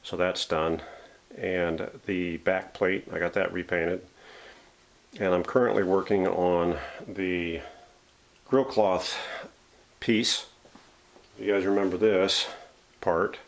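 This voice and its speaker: Low, gravelly man in casual workshop demo. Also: fairly even intonation, slow pace, long pauses. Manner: unscripted, talking through steps while handling parts. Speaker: host of a vintage radio restoration channel. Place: home workshop.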